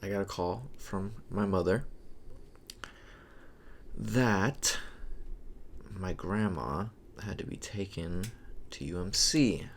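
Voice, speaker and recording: A young man with a low, gravelly voice talks slowly in a casual podcast chat. His speech is halting and emotional, full of pauses and restarts. A faint hiss sits under the voice. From Hawaii. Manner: slow, halting, emotional and reflective. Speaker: podcast co-host.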